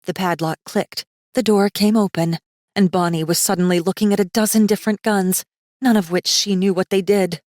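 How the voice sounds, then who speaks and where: A woman's voice: medium pitch, steady tone, normal speed, darkish tone, dry recording, clean studio sound. A woman narrating a fantasy audiobook in a dramatic, scripted style with tense, suspenseful storytelling.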